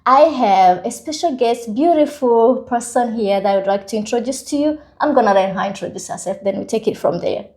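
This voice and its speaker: A woman's voice: fairly high pitch, animated, a little fast, few pauses, darkish tone. A woman hosting a podcast in a casual, conversational way, upbeat and welcoming to her guest. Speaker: podcast host.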